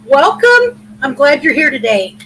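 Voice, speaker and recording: A middle-aged woman with a big, loud voice, hosting a casual livestream in a chatty, rambling way and thanking viewers by name. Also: sits high, sing-song, a little slow, a little hiss or hum, poor audio. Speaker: livestream host.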